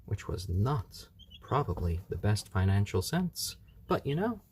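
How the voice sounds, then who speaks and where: Man, live-stream host, low mellow voice with a lively swing, easygoing chat, faint hum behind. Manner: easygoing, chatty, coos over the chicks. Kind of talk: casual live-stream chat answering viewer comments.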